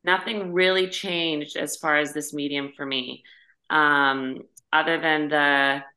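An actress talking casually as a podcast guest, informal and expressive, with emphatic phrasing. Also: female voice, low voice, takes it slowly, rough recording.

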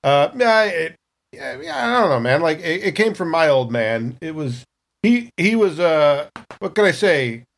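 A man's voice: fairly high pitch, very animated, measured pace, vocal fry, a bit bright, exaggerated voice. A man playing a cocky young Marine in a tabletop game, with brash, slangy talk. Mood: awkward, stumbling. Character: cocky young male space Marine called Wild Bill.

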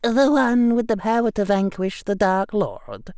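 A man voicing a strict witch professor, a woman with a precise, measured Scottish accent, in an audiobook story. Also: extremely high pitch, big pitch swings, warm tone, no echo, studio quality, pitched above the performer's own voice, heavily acted. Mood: measured, ironic.

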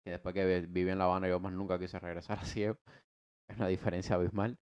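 A man's voice: low voice, fast, smooth, lo-fi sound. A man in his twenties with a Cuban Spanish accent, casually telling his life story as a podcast co-host, rambling with many restarts and slangy asides. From United States. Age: 20s. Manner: slangy and relaxed.

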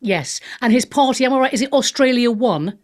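A woman's voice: on the high side, expressive, a little fast, darkish tone. A woman hosting a radio show in a chatty, conversational way, often stumbling over and repeating her words. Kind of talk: casual radio interview chat.

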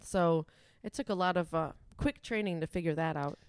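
A young adult woman with a Wisconsin accent, a musician chatting casually in an interview, full of "like" and "um" and quick to laugh. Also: lowish voice, smooth, no echo, clean studio sound. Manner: chatty, frequent "like" and "um", laughs easily. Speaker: musician guest.

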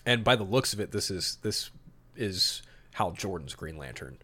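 A man's voice: sits low, expressive, moderate pace, sharp tone, breathy edge. A man hosting a podcast, moving between reading out summaries and emphatic, opinionated commentary with some mild swearing. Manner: opinionated, emphatic, mildly profane. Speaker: podcast host. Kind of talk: podcast talk mixing read-out storyboard summary and casual commentary.

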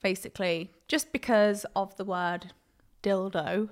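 A woman's voice: mid-range voice, fairly even intonation, a little slow. A young woman, co-hosting a podcast in casual chat and laughing often. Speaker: podcast co-host.

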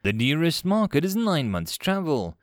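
A man's voice: fairly high pitch, sing-song, moderate pace, dry recording, studio quality, voice pushed up. A man voicing a practical alien first officer in a sci-fi story, polite to his superior but dryly pointed. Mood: firm, practical. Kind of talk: sci-fi audio story character.

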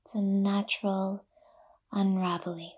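A woman leading a guided yoga nidra meditation in a soft, breathy half-whisper, gently and with long pauses. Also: medium pitch, flat delivery.

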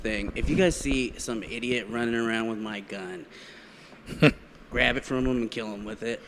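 A man's voice: medium pitch, normal speed, a bit bright, slight background noise, close to an everyday voice. A man playing a reckless, boozy, bumbling police officer in an improvised radio parody, loose and erratic in his delivery. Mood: boozy, bossy.

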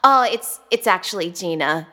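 A woman's voice: on the low side, animated, moderate pace, bright, forceful tone. An actress playing a young woman grad student who stages an immersive horror show, switching between hammy menace and flustered apology in a radio play. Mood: sheepishly apologetic. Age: young adult. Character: awkward young woman grad student, theatrical, in wolf costume.

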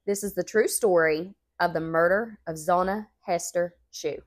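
A woman's voice: on the low side, normal speed. Congested nasal woman, thirties. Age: 30s. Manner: chatty, laughs often, says y'all. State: congested from a sinus infection. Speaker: podcast co-host. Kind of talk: casual chat and storytelling.

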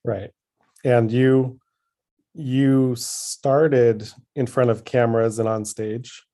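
A man co-hosting a podcast in casual chat, calm and supportive, mostly giving short replies. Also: mid-range voice, takes it slowly. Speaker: podcast co-host and creative partner.

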